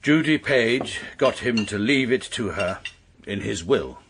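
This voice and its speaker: Formal British barrister narrator, deep, deadpan; faint hiss. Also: male voice, measured pace. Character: stiff, precise English barrister narrator, middle-aged man. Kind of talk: radio drama acting and narration.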